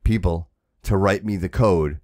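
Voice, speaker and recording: Excitable man in casual podcast chat. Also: sits low, unhurried, no echo, studio quality. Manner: excitable, insistent, repeats himself. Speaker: podcast host.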